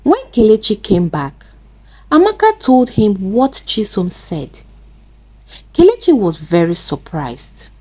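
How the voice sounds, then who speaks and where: A woman narrating a scripted folktale in a deliberate, dramatic way. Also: mid-range voice, huge pitch swings, a little mellow, slightly rough. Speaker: storyteller.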